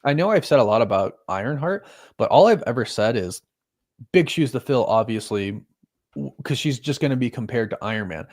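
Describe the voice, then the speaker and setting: A man's voice: mid-range voice, lively intonation, brisk, darkish tone. A man in his thirties chatting casually as he hosts a watch party. He talks in a loose, running way, often laughing and making fun of himself. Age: 30s. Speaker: host.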